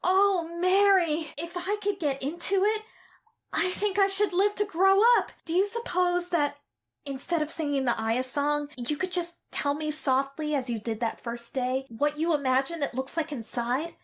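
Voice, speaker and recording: A woman's voice: high-pitched, normal speed, close to an everyday voice. A woman playing a spoiled, sickly young boy in an audio drama, with a plaintive, childlike manner. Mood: wistful.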